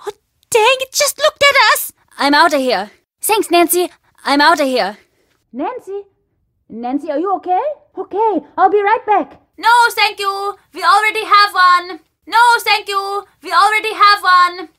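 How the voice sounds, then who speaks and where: Game role: woman as mischievous young boy. Lively swooping delivery, high-pitched, cheeky, dry close recording. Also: measured pace, no room sound, full character voice. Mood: excitable. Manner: childlike, cheeky. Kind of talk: video game character acting.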